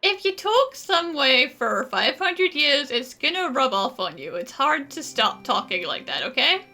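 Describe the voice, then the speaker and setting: Bright, wry young adventurer. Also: female voice, sits high, sing-song, a little slow, heavily acted. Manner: casual, wry. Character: young adventurer hero, casual, wry, friendly. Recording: music behind the voice.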